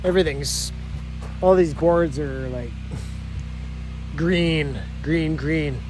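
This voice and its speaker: A man's voice: fairly high pitch, slow pace, a little mellow, soft background music, low-quality recording. A man vlogging casually outdoors at his homestead sawmill, rambling in a folksy way with lots of fillers and "right?" tags. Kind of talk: casual vlog talk to camera. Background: generator running.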